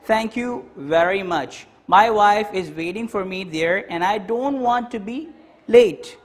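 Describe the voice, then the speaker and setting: A man reading aloud as a polite male tourist from Scotland, with a high voice and a slow, deliberate delivery, read line by line from a textbook dialogue.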